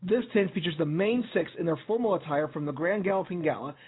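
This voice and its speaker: Hoarse, raspy-voiced man hosting radio. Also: high voice, lively intonation, fast. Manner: upbeat, promotional, with some fillers. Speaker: radio show host and DJ. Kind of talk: internet radio show hosting. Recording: tinny phone-like line.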